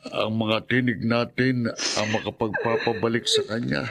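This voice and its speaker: A fretful elderly grandfather for a radio drama. His voice sits in an ordinary middle pitch, and he speaks hesitantly. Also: unhurried, vocal fry, a bit bright. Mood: worried.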